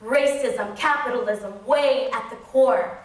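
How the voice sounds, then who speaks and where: A woman performing rhythmic spoken word poetry on stage, slow and emphatic. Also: on the high side. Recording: echoey hall, mic far off.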